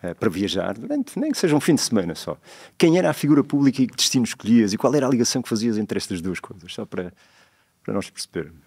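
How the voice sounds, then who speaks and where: A middle-aged man with a lowish voice hosts a studio interview at a quick clip. He asks brisk questions into a boom mic and fills the pauses with quick agreements. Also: big pitch swings, darkish tone, husky, no room sound. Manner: brisk questions with many short backchannels. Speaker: host. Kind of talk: casual interview chat. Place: small studio. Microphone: boom-mounted studio mic.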